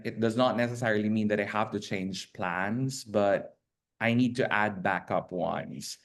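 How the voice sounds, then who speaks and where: A man answering a panel host's question as a graduate student, measured and thoughtful, with frequent fillers, over a video call. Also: lowish voice.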